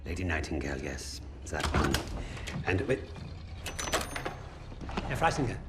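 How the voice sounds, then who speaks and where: An actor playing a coldly polite Nazi interrogator in a war game, with a German accent and a measured, menacing manner. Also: male voice, a bass voice, big pitch swings, very noisy, heavily acted. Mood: brisk, unsettled. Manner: measured, menacing, mock-courteous. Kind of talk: cinematic video game acting. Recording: loud cinematic music behind the voice. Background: loud music.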